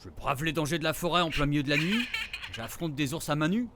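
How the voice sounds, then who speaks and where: Male actor as forest ranger and expectant father, slightly high voice, casual, teasing, natural audio drama delivery. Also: lively intonation, a little fast, heavy background noise, close to an everyday voice.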